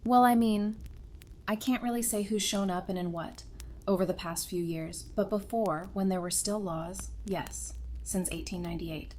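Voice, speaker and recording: A woman's voice: mid-range voice, moderate pace, a little mellow, lightly acted. A woman playing an affectionate, teasing young wife in an audio drama, talking in a casual, conversational way. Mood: casual, explanatory. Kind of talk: fan audio drama acting. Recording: clear background noise and ambience.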